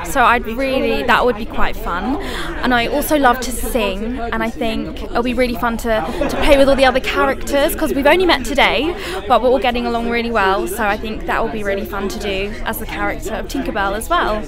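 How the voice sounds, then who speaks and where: A woman's voice: on the high side, brisk. A young woman giving casual interview answers as an actor. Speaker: pantomime actor. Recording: loud music and crowd behind.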